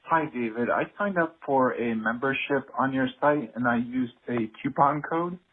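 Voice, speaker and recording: A man leaving a casual voicemail with a deadpan, joking manner. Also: mid-range voice, normal speed, husky. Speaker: voicemail caller. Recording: tinny phone voicemail.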